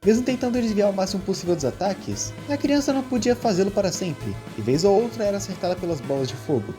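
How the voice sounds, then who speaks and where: A man with a Brazilian accent reading scripted story narration in an expressive storyteller's manner. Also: high-pitched, fast, over music.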